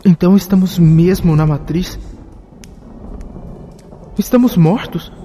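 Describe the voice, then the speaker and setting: A man's voice: high-pitched, takes it slowly, warm tone, a little hiss or hum. A man playing an uneasy, questioning companion in a sci-fi audio drama.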